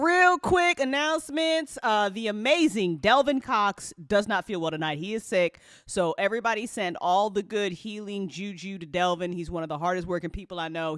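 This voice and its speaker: A woman hosting a live-stream listen party, chatting casually and excitably with her audience, giggly and quick to swear. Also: mid-range voice, clear, resonant tone, dry recording, studio quality. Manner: hype-building, talks directly to chat. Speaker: host of a podcast listen party.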